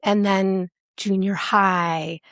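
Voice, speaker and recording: A woman with an American accent, speaking casually as an interview guest, animated and playful as she tells her stories. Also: medium pitch, very slow, no echo, studio quality. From Alaska, United States. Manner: animated, playful, acts out remembered remarks. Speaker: singer, podcast guest.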